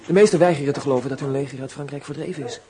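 An actor playing a brisk male police officer who reports to his inspector. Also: mid-range voice, crowd noise, lightly acted. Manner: hurried reporting.

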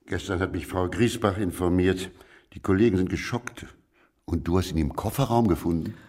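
An actor playing a sober, slightly awkward senior academic in a radio play, speaking in short, businesslike sentences. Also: male voice, low-pitched, normal speed, a little mellow. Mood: subdued. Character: sober, somewhat awkward senior faculty head. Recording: slightly distant room pickup.

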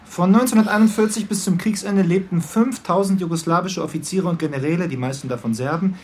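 An actor playing a pedantic, drily teasing police detective in a radio crime drama, precise and droll. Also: male voice, on the high side, a little mellow, natural voice.

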